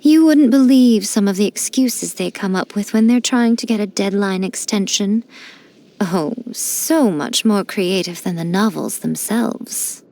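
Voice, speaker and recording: A woman's voice: medium pitch, a little slow, warm tone, close to an everyday voice. A woman voicing a sly teasing fox priestess. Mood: amused. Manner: playful, teasing, amused. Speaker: game character. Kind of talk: studio game voice lines.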